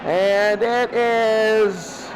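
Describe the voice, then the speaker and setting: A man's voice: high voice, steady tone, drawn-out words, a loud crowd, heavy background noise. A man doing football play-by-play as the announcer, often repeating his phrases. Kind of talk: amateur football play-by-play commentary.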